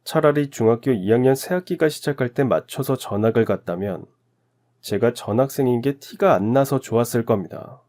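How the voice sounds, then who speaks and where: A man from Daegu narrating his own life story in a calm, reflective, confessional first-person style. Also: medium pitch, fairly quick. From Daegu, South Korea.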